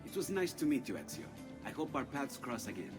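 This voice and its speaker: An actor with an Italian accent playing an earnest, restless young painter-inventor in a video game, courteous and thoughtful. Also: male voice, medium pitch, sing-song, a little fast, loud music behind the voice, close to an everyday voice. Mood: grateful. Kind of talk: scripted video game acting.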